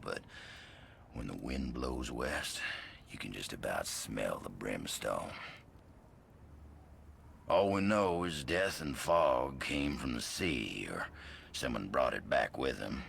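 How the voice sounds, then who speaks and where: An actor playing a grim, world-weary American drifter with cowboy swagger and a gravelly, folksy, ominous drawl in a video game cutscene. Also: male voice, very deep voice, a bit bright, slight background noise, full character voice.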